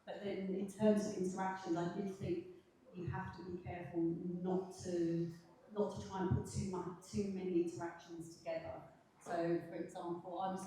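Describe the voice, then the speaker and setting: A soft-spoken woman on a panel, giving a hesitant spoken critique at a café event with many restarts. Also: fairly low pitch. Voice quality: fairly quiet voice. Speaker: panel reviewer. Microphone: handheld mic, held away at times. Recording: very echoey room, distant mic, faint ambience.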